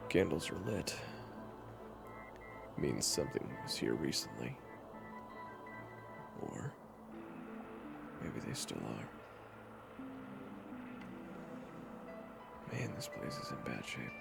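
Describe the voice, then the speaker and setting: Man acting a very deep, gravelly, jaded monster hunter. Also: wildly swooping pitch, takes it slowly, pauses often, loud music behind the voice, exaggerated voice. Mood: wary. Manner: blunt, terse, commanding, cursing. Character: gruff, jaded vampire hunter man, sternly protective. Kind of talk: audio roleplay acting addressed to the listener.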